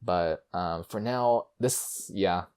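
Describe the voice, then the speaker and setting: A man's voice: deep voice, slow, a little mellow, clean tone. A man hosting a gaming video, giving casual, informal playthrough commentary and reading aloud. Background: music.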